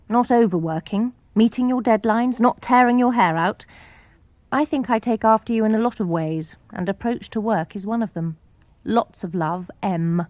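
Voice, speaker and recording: A woman with a British accent reading letters and memoir for radio in a gentle, reflective way. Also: a little high, dry recording.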